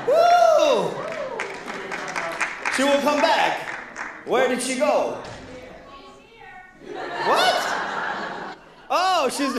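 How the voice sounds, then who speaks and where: A man from Iran doing a live stand-up comedy set, chatting with the crowd in a rambling way with lots of fillers and restarts. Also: very high-pitched. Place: comedy club stage before a live audience. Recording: echoey room, voice from far off.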